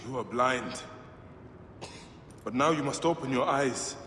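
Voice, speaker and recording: A man's voice: mid-range voice, fairly bright tone, a little hiss or hum, close to an everyday voice. Man playing a solemn warrior in an echoing hall. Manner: solemn, measured. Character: grave, determined male warrior avenger of ancient Egypt. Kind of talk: dramatic video game acting. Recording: echoing hall with music behind.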